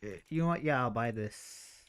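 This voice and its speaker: A man's voice: medium pitch, lively intonation, moderate pace, a bit bright, clear, resonant tone, faint music behind. A man doing casual live game commentary, informal and muttering to himself as he thinks aloud. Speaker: game streamer.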